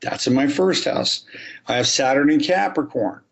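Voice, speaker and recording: A man's voice: medium pitch, moderate pace, gravelly, a bit bright, dull audio. A man, an astrologer guest on a podcast, explaining his subject methodically and step by step. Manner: methodical, talks through examples step by step.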